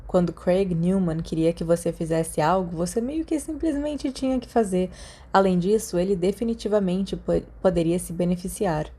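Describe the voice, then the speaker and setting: A woman's voice: on the low side, moderate pace, dark tone, slightly rough. A woman with a Brazilian Portuguese accent reading a story aloud as a scripted audiobook narration. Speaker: podfic narrator.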